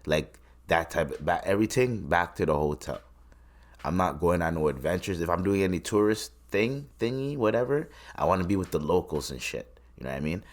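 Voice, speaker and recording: A man's voice: low voice, lively intonation, a little fast. A man from Tanzania hosting a solo podcast, rambling and swearing between his points. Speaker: podcast host. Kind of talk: casual solo podcast commentary.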